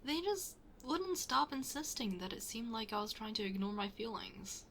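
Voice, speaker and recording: A woman's voice: on the high side, moderate pace, vocal fry, a bit bright, a little hiss or hum. A woman playing a shy, flustered best friend hiding a crush in an intimate ASMR roleplay, hesitant and often dropping to a near-whisper. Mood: embarrassed, confessing.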